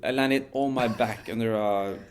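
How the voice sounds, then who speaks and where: A man, telling a story as a podcast guest in a relaxed, measured way with frequent restarts. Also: medium pitch, slight background noise. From Norway. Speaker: guest, wingsuit pilot.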